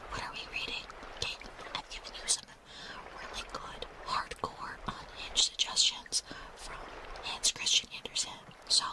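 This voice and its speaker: A woman in her thirties hosting a whispered ASMR livestream, chatting playfully with her viewers and greeting them by name. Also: poor audio. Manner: playful, chatty, reading out chat names. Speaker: livestream host.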